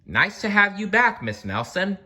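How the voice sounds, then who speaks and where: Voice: mid-range voice, sing-song, normal speed, sharp tone, slightly rough, pitched above the performer's own voice, exaggerated voice. A storybook reader voicing a fussy, gullible male school principal with a formal, self-assured way of talking. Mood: satisfied.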